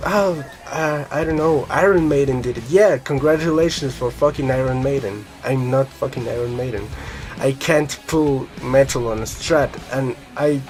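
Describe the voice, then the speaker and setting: A young man with a Colombian Spanish accent rambles to camera about guitars, swearing casually and filling his talk with "you know" and "uh". His voice sits at an ordinary pitch for a man. Faint music plays behind him. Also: a little mellow. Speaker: guitar vlogger.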